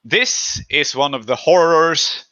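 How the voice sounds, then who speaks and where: Bright, sharp-voiced man as game master. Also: a little high, animated, slow, dull audio. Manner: conversational, explanatory. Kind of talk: narrating and adjudicating a tabletop roleplaying game.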